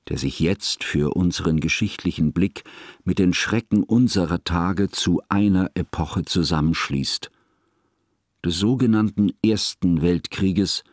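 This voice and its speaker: Mild scholarly memoirist, radio drama narration, lowish pitch, measured literary delivery, close dry studio sound. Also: male voice, darkish tone, no room sound. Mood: grave reminiscence. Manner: measured, bookish, reflective. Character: mild, cultured male schoolteacher narrating his memoir.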